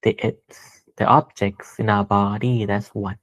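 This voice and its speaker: Male meditation guide, low-pitched, very unhurried, gentle and deliberate, phrase by phrase. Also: flat delivery. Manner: gentle, deliberate, pausing between short phrases. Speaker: meditation teacher.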